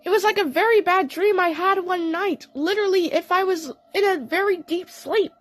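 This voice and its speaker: A woman narrating a fan audio play in the first person, telling the story in an earnest, deliberate, dramatic way. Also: extremely high pitch, dry recording.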